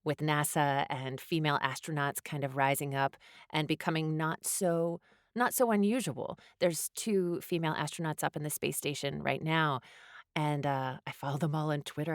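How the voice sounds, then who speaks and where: A woman's voice: sits low, fairly even intonation, moderate pace, smooth, no room sound, clean studio sound. A woman chatting casually as an interview guest, telling stories in a conversational way.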